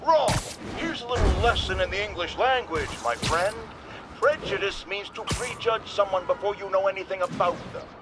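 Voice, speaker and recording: An actor playing a blustering, ranting radio host. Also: male voice, sits high, moderate pace, close to an everyday voice. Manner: ranting, pompous. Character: blustering middle-aged male radio host. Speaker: radio talk show host. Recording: game audio with loud action noise.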